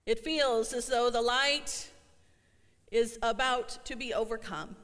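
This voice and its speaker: A middle-aged woman pastor delivering an earnest sermon, slowing down to stress her refrains, recorded in a church sanctuary. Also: a little high, steady tone, fairly bright tone. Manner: earnest, slows for emphasis on refrains. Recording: long church hall reverb.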